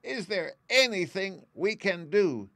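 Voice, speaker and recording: A man's voice: high voice, lively intonation, slow, fairly bright tone, no echo, pitched above the performer's own voice, full character voice. A man voicing small, loyal field mice in a storybook, eager and deferential. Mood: helpful. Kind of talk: audiobook character voice.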